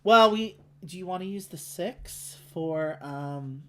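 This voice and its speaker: Casual board gamer thinking out loud. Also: medium pitch, slow, a little hiss or hum. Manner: talks through options, thinking aloud. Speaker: co-host of a board game playthrough. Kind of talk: casual chat while playing a board game.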